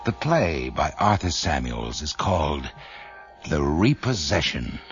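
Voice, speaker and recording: Male horror series host, rumbling bass, ominous and measured, swooping theatrical intonation. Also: very animated. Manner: ominous, deliberate. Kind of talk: theatrical scripted introduction. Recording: muffled radio recording under loud music.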